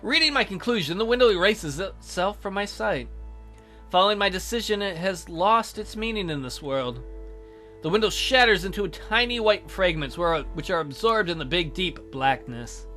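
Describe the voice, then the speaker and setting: High-voiced man reading a game aloud. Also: expressive, moderate pace, sharp tone. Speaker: let's play host. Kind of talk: dramatic read-aloud of a visual novel, with casual asides. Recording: music behind the voice.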